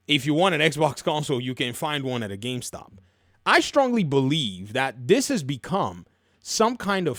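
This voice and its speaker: A man's voice: medium pitch, no room sound, studio quality. A man hosting casual, opinionated video game commentary, telling stories in a colloquial, emphatic way and repeating phrases for effect.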